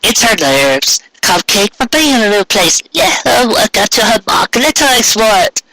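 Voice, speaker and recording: Storybook mare, female adult pony played by a man: pitched well up, flustered older sister, stumbling apologetically over words. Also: lively intonation, normal speed, bright, forceful tone, full character voice, poor audio. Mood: motherly, fussing. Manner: stammering, repeating apologies. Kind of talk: fan fiction audiobook character voice.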